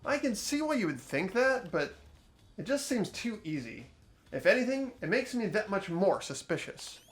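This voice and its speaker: A performer voicing an earnest, uneasy teenage schoolboy who narrates an investigation in a visual novel game, hesitant and expressive. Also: mid-range voice, normal speed, natural voice. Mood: doubtful.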